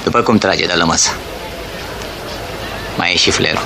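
A sly, hard-bitten police commissioner who talks drily and ironically, with a fairly low voice. The old film soundtrack carries a clear hiss behind him. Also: male voice, a loud crowd. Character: sly middle-aged police commissioner, cynical and tough. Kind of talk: vintage film acting.

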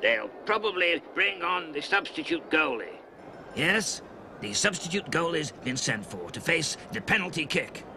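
An excitable football commentator in a story recording, his gravelly voice swinging high and low , voiced by a man. He calls the action breathlessly. Clear background noise rides under him. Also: measured pace. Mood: excited. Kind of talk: mock sports commentary in a story. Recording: noisy recording with ambience.

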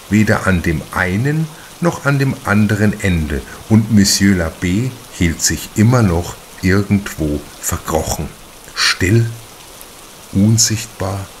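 A male narrator with a very low voice reads crime fiction very slowly. Faint rain sounds sit behind the scripted audiobook delivery. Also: slight background noise.

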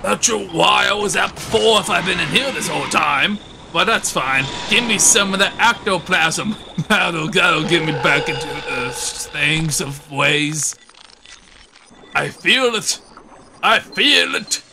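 A man improvising a comedy dub over game cutscenes in a goofy, exaggerated, shouty way. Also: high-pitched, lively intonation, slow, gravelly, noisy background. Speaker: comedic dubber. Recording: loud music behind.

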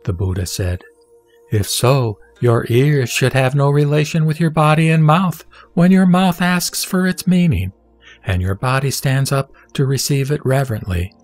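A man's voice: medium pitch, moderate pace. Solemn man reciting scripture over music. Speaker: sutra reciter. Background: background music.

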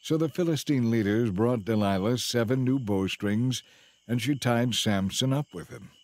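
A man narrating a dramatized Bible story in a measured, scripted storytelling style. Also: medium pitch, a little mellow, dry recording.

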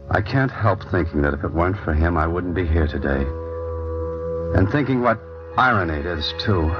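Very deep, brooding ex-convict. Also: male voice. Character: bitter, brooding male ex-convict telling his own story. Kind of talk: old-time radio drama acting, first-person narration. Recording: muffled vintage radio recording, music underneath.

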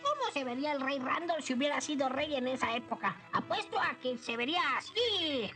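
A man voicing a small, excitable, boastful and bumbling magician creature in a Latin American Spanish cartoon dub. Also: extremely high pitch, fairly quick, soft background music, heavily acted. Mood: curious, chatty. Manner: excitable, exclamatory. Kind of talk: animated series dubbing.

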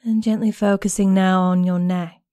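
A woman guiding a meditation in a gentle, soothing, unhurried manner with long pauses between phrases. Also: mid-range voice, little pitch movement, no echo, clean studio sound. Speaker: guided meditation instructor. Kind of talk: guided body scan meditation narration.

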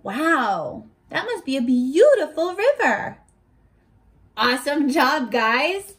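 A woman in her twenties reading aloud and teaching young children in an animated, encouraging way, pausing to ask questions, recorded at arm's length indoors. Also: high-pitched. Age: 20s. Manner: animated, encouraging, asks questions and pauses for answers. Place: small furnished bedroom, indoors.

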